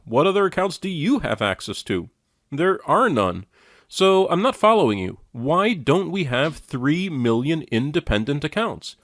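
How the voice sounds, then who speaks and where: A man's voice: fairly high pitch, dry recording, clean studio sound. A man giving critical commentary in a skeptical, sardonic and challenging manner. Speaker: commentator reviewing and rebutting a lecture.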